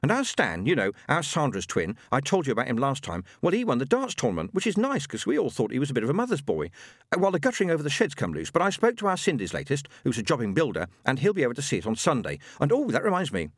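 A man's voice: medium pitch, huge pitch swings, fast talker, no room sound, studio quality, pitched above the performer's own voice. A man voicing a gossipy older widow who rambles on about family news at a seance. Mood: chatty, eager.

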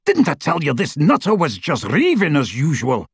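A man voicing a brutish, sneering dark-wizard henchman in an audiobook, with a rough voice and a scornful, threatening manner. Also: a little high, huge pitch swings, moderate pace, no echo, clean studio sound, heavily acted. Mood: gruff scorn. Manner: scornful, taunting, roaring. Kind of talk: audiobook character voice.

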